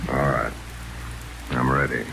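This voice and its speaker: A hard-boiled private detective with a rock-bottom deep voice. He talks drily and laconically, keeping a cool head with a sardonic edge. It sounds like an old radio drama with rain falling softly over it. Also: level tone, takes it slowly, creaky, noisy background, heavily acted. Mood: wry. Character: tough, cool-headed American private detective leader.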